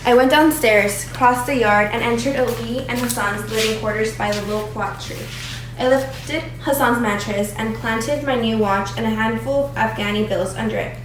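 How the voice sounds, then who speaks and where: A woman's voice: a little high, normal speed, close to an everyday voice. A woman reading a remorseful narrator's memories of boyhood as scripted readers' theatre. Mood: remorseful, reflective. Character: remorseful Afghan narrator recalling his boyhood. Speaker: student performer. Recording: echoey room, faint background noise.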